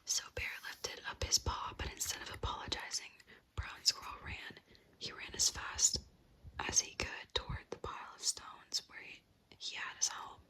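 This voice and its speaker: A woman's voice: moderate pace, low-quality recording. Whispering woman telling a bedtime story. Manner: soft unvoiced whisper throughout.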